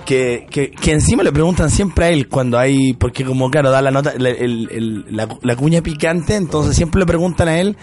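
A man's voice: on the high side, moderate pace, hardly any pauses, a little mellow, soft background music. A man with a Chilean accent, co-hosting a casual talk show and speaking in a thoughtful, hesitant way with many restarts. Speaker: radio show co-host. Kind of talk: casual discussion.

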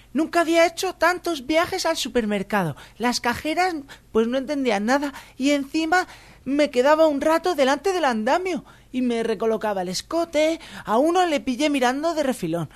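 A woman's voice: high voice, animated, moderate pace. A woman voicing a vain, indignant young woman in a comedic radio sketch, complaining in an aggrieved tone. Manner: aggrieved and self-pitying.

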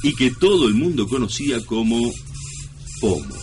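An actor playing a pompous narrator in mock-solemn scripted narration for a comic radio drama. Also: male voice, medium pitch, very animated, moderate pace, darkish tone, soft background music, natural voice. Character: pompous, easily distracted male radio-play narrator. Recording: noisy old radio broadcast.

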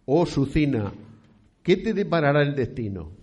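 A man's voice: a little high, very animated, moderate pace, darkish tone, husky, lo-fi sound. A man playing a frail, devout old priest near death in a radio drama, speaking solemnly in archaic, declamatory phrases. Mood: devout, wistful. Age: older.

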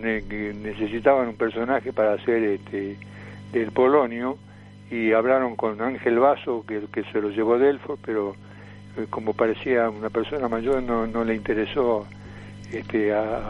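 An elderly man with a Rioplatense Argentine accent reminisces as a radio guest, rambling and halting with frequent fillers. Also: mid-range voice, steady tone, lo-fi sound, soft background music. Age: 70s or older. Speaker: guest, veteran cartoonist, impressionist and tango singer.

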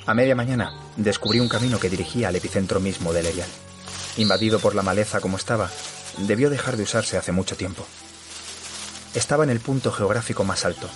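An actor playing a weary surveyor who narrates an eerie horror tale in a measured, literary, sombre way. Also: male voice, lowish voice, lightly acted. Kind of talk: dramatic first-person audio drama narration. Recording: music behind the voice.

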